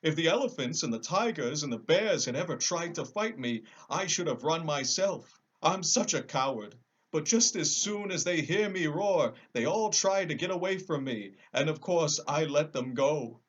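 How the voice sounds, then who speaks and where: A big cowardly lion from a storybook, played by a man, pleading in a high, swooping voice. He is plaintive and timid, full of self-pity and theatrical sorrow. The recording is slightly dull. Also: animated, normal speed. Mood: sad, confessing. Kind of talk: storybook character voice.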